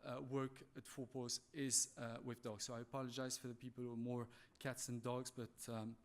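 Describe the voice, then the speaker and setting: A man with a French accent giving a conference presentation in a halting, polite, apologetic way. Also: medium pitch, level tone. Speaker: conference speaker, animal welfare manager.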